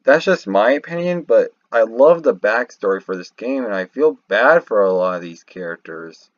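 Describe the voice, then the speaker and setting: A man giving casual, unscripted commentary as a video host, halting and rambling as he goes. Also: lowish voice, lively intonation, dry recording. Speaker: video host reacting to game lore. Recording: slightly muffled, dry home microphone.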